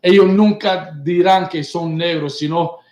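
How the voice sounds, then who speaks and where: Casting: middle-aged man from Puerto Rico, emphatic class leader, a touch high-voiced, echoey webcam sound. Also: steady tone, moderate pace, darkish tone. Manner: emphatic, repeats points and asks "right?" often. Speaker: teacher leading a class. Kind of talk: bilingual religious class talk. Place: indoor studio set with printed backdrop. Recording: echoey room.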